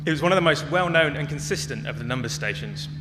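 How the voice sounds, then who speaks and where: A man's voice: on the high side, expressive, quick pace. A man speaking as a conference presenter, explaining things in a prepared public talk. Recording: echoey hall with clear hum and noise.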